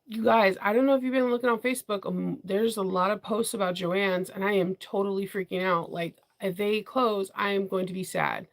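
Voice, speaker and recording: A woman's voice: mid-range voice, steady tone, moderate pace, few pauses. A woman hosting a casual sewing chat with her viewers, congested and rambling, often laughing. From Washington DC area. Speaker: sewing channel host.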